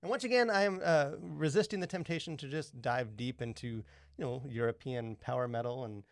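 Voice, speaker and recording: A man, probably in his thirties, co-hosting a podcast with casual, rambling, halting talk full of filler words. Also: mid-range voice, creaky, faint music behind. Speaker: podcast co-host.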